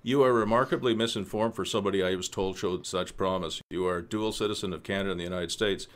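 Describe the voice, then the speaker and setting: A man playing a suavely threatening government official in a radio play, condescending, formal and deliberate. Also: low voice, steady tone, close to an everyday voice.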